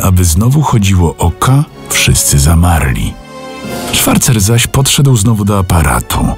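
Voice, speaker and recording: A man giving a dramatic scripted reading of literary fiction, with deliberate, measured diction. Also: lowish voice, vocal fry, darkish tone, slightly rough. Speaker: audiobook narrator, voice actor.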